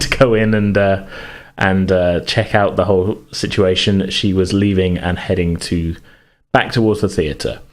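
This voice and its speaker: A man narrating a tabletop role-playing game as game master, in a halting, searching delivery. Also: on the low side.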